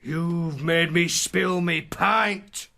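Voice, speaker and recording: Surly, menacing British pub drinker. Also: male voice, on the high side, steady tone, drawn-out words, gravelly, sharp tone, heavily acted. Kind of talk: radio drama acting.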